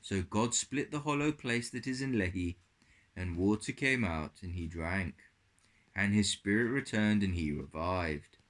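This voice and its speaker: Slow-paced man reading a Bible story. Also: fairly low pitch, fairly bright tone. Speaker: storyteller reading scripture for a children's puppet show.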